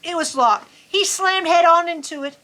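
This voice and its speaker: A woman reading a picture book aloud to children in an expressive, folksy, chatty storytime manner. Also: very high voice, normal speed. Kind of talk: animated children's story reading with casual asides. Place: on a farm among sheep.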